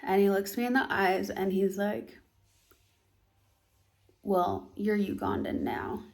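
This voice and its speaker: A young American woman telling a tense personal story in a casual vlog, animated and often dropping to a hushed near-whisper. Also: medium pitch, slow, vocal fry. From United States. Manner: animated storytelling, acts out both sides of a dialogue.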